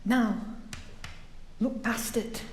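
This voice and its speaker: Patient, coaxing mentor woman: unhurried stage dialogue, roomy, strong music underneath. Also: on the high side. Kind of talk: quiet stage drama dialogue. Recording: roomy, loud music behind.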